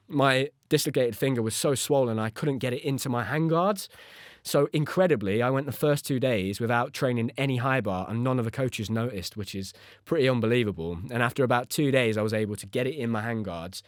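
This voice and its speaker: A man in his thirties with an English accent recounts his own experiences for a podcast. His voice sits at a middling pitch, and he talks in an earnest, conversational way. The sound is dry, with very little of the room in it. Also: no echo. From United Kingdom. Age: 30s. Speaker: podcast host and former gymnast. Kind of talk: first-person storytelling on a podcast.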